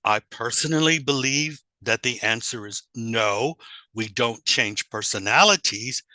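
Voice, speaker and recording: A man giving an informal online lecture in a deliberate, explanatory way with rhetorical questions. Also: medium pitch, pushed and bright, dry recording, rough recording. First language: English, also Spanish and German. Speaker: teacher trainer presenting.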